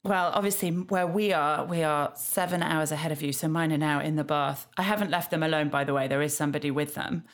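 A woman's voice: low voice, fairly even intonation, quick pace, few pauses. A British woman, around her thirties or forties, chatting casually and self-deprecatingly as a podcast co-host. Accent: British English. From Yorkshire, England. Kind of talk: casual chat, TV recap.

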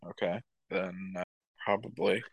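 A young man chatting casually as a podcast co-host, with crude, deadpan jokes. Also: low-pitched, measured pace, gravelly, no room sound, lo-fi sound. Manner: crude, joking, deadpan asides. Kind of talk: casual chat.

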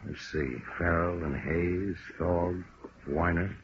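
An actor playing a blunt, weary middle-aged police lieutenant in a 1950s radio drama, gruff and plain-spoken. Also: male voice, low voice, fairly even intonation, slow. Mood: weary, frustrated. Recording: dull old radio recording, faint noise.

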